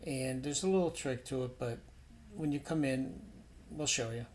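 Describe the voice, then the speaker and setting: A man's voice: medium pitch, normal speed, slight background noise. A man narrating a painting demonstration as an instructor, in an informal, explanatory and somewhat hesitant way.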